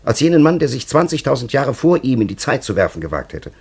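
A man's voice: mid-range voice, big pitch swings, quick pace, gravelly, darkish tone, breathy edge, heavily acted. An actor playing an ancient, cunning and patronising time traveller in a radio play, telling his story in a solemn, elaborate, theatrical way. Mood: indignant, agitated.

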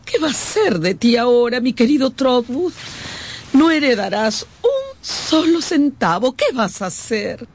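A woman's voice: a little high, big pitch swings, measured pace, breathy edge, heavily acted. A woman playing a proud, loving older aunt in a radio drama, emotional yet dignified. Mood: distraught. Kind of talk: Spanish-language radio drama acting.

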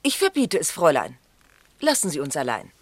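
Haughty, low-voiced upper-class lady. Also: huge pitch swings, a little fast, breathy edge, no room sound, full character voice. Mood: indignant. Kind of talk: German radio play acting.

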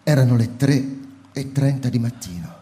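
A man's voice: mid-range voice, normal speed, mellow. A man narrating a radio drama in the first person, intense and sometimes half-singing. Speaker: narrator and lead character. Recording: roomy studio sound with music.

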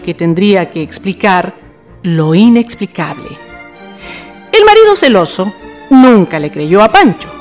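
A Chilean woman reads scripted radio-play narration in a mid-range voice. Her intonation is lively and varied, with soft music underneath. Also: mid-range voice.

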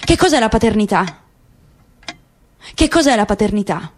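A woman narrating a literary work on the radio in a measured, expressive way. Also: medium pitch, a little hiss or hum. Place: radio studio.

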